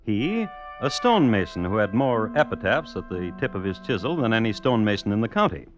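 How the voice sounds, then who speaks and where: Low, swooping, wry radio storyteller. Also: male voice, sing-song, a little fast. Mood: mock-solemn. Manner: wry, droll, mock-solemn. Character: wry male storyteller of macabre tales. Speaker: narrator. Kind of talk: scripted old-time radio narration. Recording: muffled vintage radio recording. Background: music.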